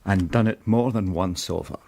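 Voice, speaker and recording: An actor playing a kindly, generous gallery keeper in a radio drama, gently teasing and reassuring. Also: male voice, low voice, normal speed, vocal fry.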